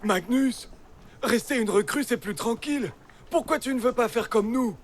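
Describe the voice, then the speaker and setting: A man playing a cautious, worried young recruit in a French-dubbed animated film, speaking in a cautioning, pleading way. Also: very high-pitched, moderate pace, a little hiss or hum.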